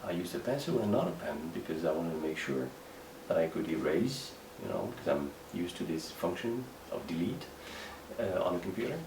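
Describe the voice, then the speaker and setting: A Moroccan man, a native speaker of Moroccan Arabic, talking in English with a Moroccan accent in a halting interview monologue, full of fillers and restarts. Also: deep voice, very animated, darkish tone. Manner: hesitant, many fillers and restarts. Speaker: writer. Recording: echoey room, mic at a distance, faint background noise.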